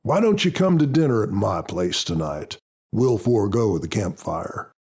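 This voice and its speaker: A man voicing a gruff but loving army captain who gives orders, in an audiobook. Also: on the low side, sing-song, moderate pace, a little mellow, full character voice. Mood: gruff, insistent. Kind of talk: audiobook character dialogue.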